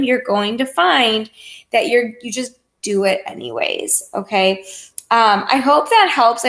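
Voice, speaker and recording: A woman's voice: a little high, fairly bright tone. An American woman talking casually and encouragingly as a fitness coach on a group call, in an informal, conversational way. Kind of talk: casual motivational coaching talk.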